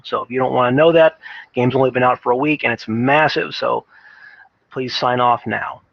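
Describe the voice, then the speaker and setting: A man giving unscripted opinion commentary as a video game commentator, often halting and restarting his phrases. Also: mid-range voice, fairly even intonation, creaky, no echo, muffled recording.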